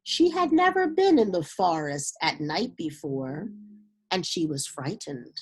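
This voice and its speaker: A woman reading a children's story aloud in an expressive, dramatic way with unhurried phrasing. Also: on the low side, husky, rough recording. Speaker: storybook reader.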